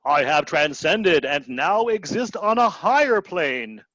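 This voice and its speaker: A man acts out an anguished, grandiose castaway in an absurdist radio play. The voice is fairly high for a male, unhurried and declamatory. It comes over a dull, dry video-call headset. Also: very animated, fairly bright tone, dry recording, exaggerated voice. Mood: lofty. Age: middle-aged. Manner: theatrical, declamatory. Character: grandiose, anguished stranded man given to doom-laden lament.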